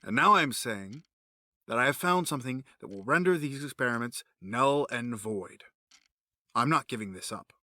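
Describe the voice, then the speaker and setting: Guy acting as cold obsessed villain widower, sardonic n menacing, bright forceful voice, dry close sound. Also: medium pitch, lively intonation, normal speed, no room sound. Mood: defiant. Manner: menacing, self-justifying, sardonic. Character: cold, obsessed male villain, a grieving widower running experiments. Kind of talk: dramatic audio drama acting.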